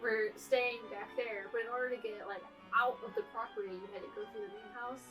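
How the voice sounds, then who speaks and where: A young woman co-host tells stories in a rambling way, her voice a bit high. She sits back from the mic, and faint solo piano plays behind her. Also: fairly even intonation, a little fast, recorded from a distance. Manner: rambling, full of fillers and laughs. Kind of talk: casual storytelling chat.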